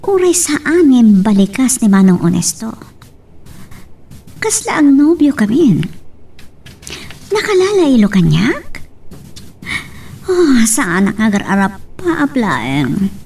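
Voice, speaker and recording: Chatty young daughter, Filipino accent, very high voice, off-mic, noisy radio drama recording. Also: female voice, slow pace, pauses often, distant mic, exaggerated voice. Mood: curious, chatty. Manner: expressive, chatty. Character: Lisbeth, chatty inquisitive young woman, wealthy daughter.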